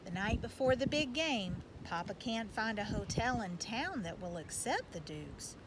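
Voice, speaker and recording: Slow-reading woman, very noisy recording. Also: mid-range voice, big pitch swings. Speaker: reader of a children's book. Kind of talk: storybook read-aloud narration. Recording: loud background noise.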